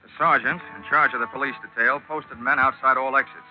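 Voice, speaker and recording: An actor playing a wisecracking, hardboiled male investigator in a 1940s radio drama, with a wry, deadpan, tough manner. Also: mid-range voice, a little fast, soft background music, close to an everyday voice. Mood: wry narrating. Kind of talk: 1940s radio detective narration and acting. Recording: dull old 1940s radio recording.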